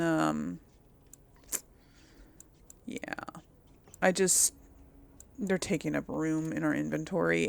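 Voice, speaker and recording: A woman's voice: lowish voice, vocal fry. A woman giving casual, chatty gameplay commentary as host, musing aloud and often trailing off. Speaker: gaming video host.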